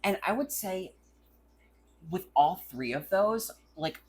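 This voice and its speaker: A woman's voice: on the low side, expressive, slow, husky. A woman co-hosting a podcast in a casual, crude chat, blunt and irreverent, often repeating words for emphasis. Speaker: podcast co-host.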